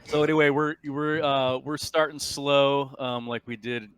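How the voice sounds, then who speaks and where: A man running a tabletop game as game master, talking in a casual, halting, informal way. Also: mid-range voice, level tone, clean tone. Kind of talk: casual tabletop game narration over voice chat.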